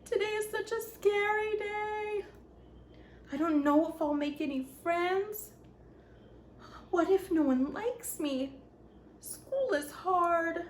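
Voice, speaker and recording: An earnest young ninja from a picture book , read by a woman, teaches children in simple words. The voice sits fairly high, in an echoey room with faint noise behind it. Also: animated, a little slow. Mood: anxious.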